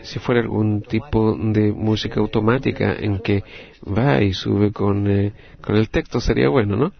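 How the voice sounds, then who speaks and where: A man with a Latin American Spanish accent interpreting a group discussion live, in halting, phrase-by-phrase delivery. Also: on the low side, steady tone, creaky, highs cut off.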